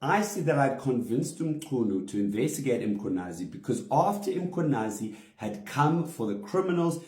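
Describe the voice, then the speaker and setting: A comedian speaks straight to camera, indignant and emphatic, and he hits single words hard. His voice has a mellow, dark tone. The recording has a noticeably roomy echo. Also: mid-range voice. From South Africa. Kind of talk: direct-to-camera statement.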